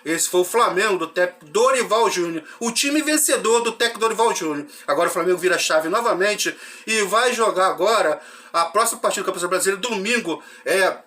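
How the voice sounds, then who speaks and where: A football fan channel host from Rio, talking in a high, bright voice gone hoarse from a cold. He runs through lineups and results in a loose, chatty way, often checking in with his listeners. A dry cough hangs under his voice. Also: brisk. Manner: chatty, frequent "né?" tag questions. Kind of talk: casual match recap to fans.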